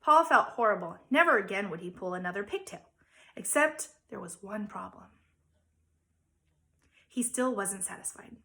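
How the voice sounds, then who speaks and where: A woman, a teacher, reading a children's story aloud with dramatic emphasis and theatrical pauses. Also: medium pitch, animated, pushed and bright. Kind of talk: expressive children's storybook narration.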